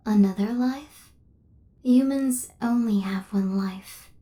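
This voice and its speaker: Woman acting a soft-whispering, devoted killer robot. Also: fairly high pitch. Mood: gentle, reassuring. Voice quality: soft, breathy half-whisper. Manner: formal, precise, measured machine-like phrasing. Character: devoted female killer robot, injured, tenderly possessive. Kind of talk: intimate ASMR roleplay acting.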